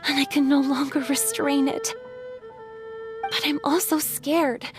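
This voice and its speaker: A woman voicing a gentle, melancholy water spirit in a video game, speaking softly in measured, formal phrases. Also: high voice, fairly even intonation, over music. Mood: wistful, anxious. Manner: wistful, measured, formal storytelling. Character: gentle melancholy female water spirit, youthful yet decades old.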